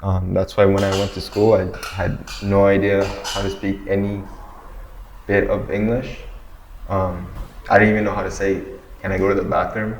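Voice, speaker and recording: Deep raspy young guy raised in japan, bengali native, easygoing talker, roomy echo and faint hum. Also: flat delivery, normal speed. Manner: laid-back, filler-heavy talk. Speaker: discussion participant. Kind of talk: casual discussion.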